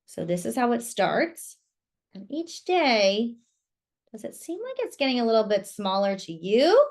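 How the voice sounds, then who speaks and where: A woman storyteller reading a picture book aloud to children, pausing to ask listeners questions and comment on the pictures. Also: fairly high pitch, very animated.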